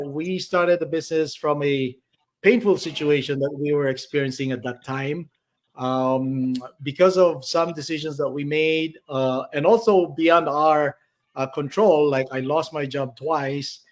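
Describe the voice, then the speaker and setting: The fairly high-voiced Filipino man you would hear as the guest coach on an online business podcast, pausing to find his words and checking in with the listener. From Philippines. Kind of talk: conversational interview answers.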